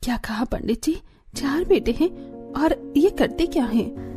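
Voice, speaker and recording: A woman voicing a sensible middle-aged mother in a cartoon family story, gently scolding and practical. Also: sits high, expressive, measured pace, over music. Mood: questioning. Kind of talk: cartoon family drama dialogue.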